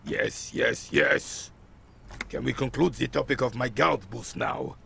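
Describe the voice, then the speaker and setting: An actor playing a rigid, proud old royalist soldier in a video game, with a raspy voice and a pompous, emphatic delivery. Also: male voice, mid-range voice, a little slow, a bit bright, slight background noise. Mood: impatient. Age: older. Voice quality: gravelly, raspy.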